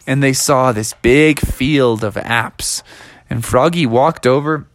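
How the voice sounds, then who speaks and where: A man's voice: mid-range voice, slow. A man improvising a playful story for a child, adding sound effects as he goes.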